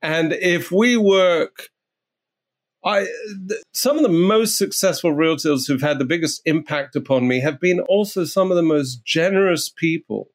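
A man working as a real estate agent, telling stories in a casual interview in a blunt, animated way and swearing freely. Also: fairly high pitch, measured pace.

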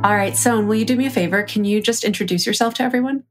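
A woman's voice: medium pitch, fast, faint music behind. A woman hosting a podcast, chatting with her cousin in an upbeat, encouraging way and laughing often. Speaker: podcast host. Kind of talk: casual interview chat.

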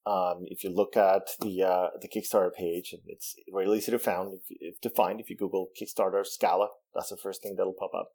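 A man's voice: low voice, level tone, brisk, hardly any pauses, dark tone. A man from Venezuela whose first language is Spanish, a game developer explaining his project in a casual interview, thoughtful with frequent restarts. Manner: thoughtful, many restarts and fillers.

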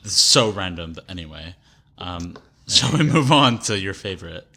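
A man's voice: low-pitched, lively intonation, normal speed, sharp tone, slightly rough. A man co-hosting a casual music discussion in a hedging, conversational manner. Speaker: podcast co-host.

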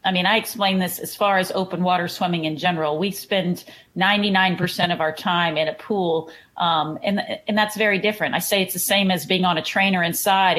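A woman's voice: fairly low pitch, fairly bright tone. A woman with a Texan accent, a triathlon coach chatting in a podcast interview and often repeating words as she starts a thought. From Houston, Texas.

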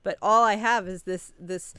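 A woman's voice: mid-range voice, fairly even intonation, pushed and bright, clean tone, no echo. Dry-voiced woman podcast co-host. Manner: laughs often, halting restarts. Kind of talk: casual chat. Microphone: podcast microphone.

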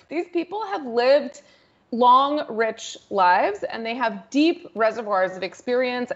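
A woman raised in the United States, talking in a lively way with laughs in a casual panel discussion. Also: on the high side, muffled recording.

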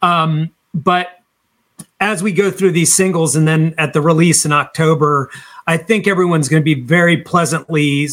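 A man's voice: fairly high pitch, fairly even intonation, moderate pace, a bit bright. A man in his fifties, a band singer, chatting casually and enthusiastically in an interview with emphatic delivery. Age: 50s.

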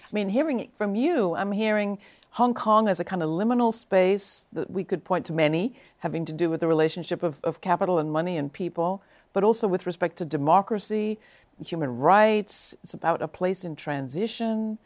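A woman's voice: lowish voice, a little fast, darkish tone. A woman hosting an interview, introducing guests and asking follow-up questions in an engaged, conversational way, often saying "I mean.". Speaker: host.

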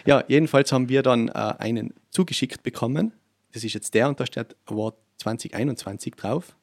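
A man with a Tyrolean Austrian accent talks casually as an interview guest. His voice sits at a middle pitch and is recorded very dry. He tells things as anecdotes, slipping into quoted speech as he goes. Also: fairly quick, dry recording. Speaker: musician, podcast guest.

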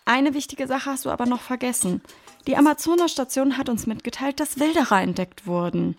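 A woman's voice: high-pitched, a little fast, faint music behind. A woman playing a worried, resolute jungle station worker in a children's audio play, with an earnest, natural delivery. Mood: worried, insistent.

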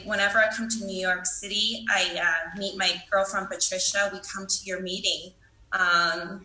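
A woman's voice: mid-range voice, sharp tone, roomy sound, low-quality recording. A woman from Taiwan speaking candidly at a recovery meeting, telling her own story with frequent fillers and self-deprecating humor. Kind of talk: personal recovery talk.

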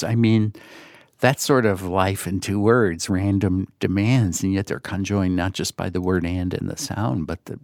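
A man's voice: deep voice, darkish tone, dry recording, studio quality. A man talking reflectively as a literary editor and host, thoughtful and hesitant, often circling back to rephrase. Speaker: magazine editor and podcast host.

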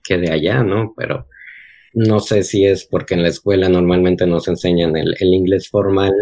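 A man's voice: low voice, steady tone, moderate pace, warm tone. A Mexican man with a Mexican accent, chatting casually and unhurriedly, often ending his points with a questioning tag. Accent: Mexican Spanish. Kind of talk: casual chat.

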